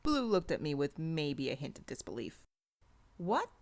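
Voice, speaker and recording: A woman narrates a piece of fiction as an audiobook. Her voice sits a little on the low side, and she reads in the first person. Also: big pitch swings, moderate pace. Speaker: audiobook reader.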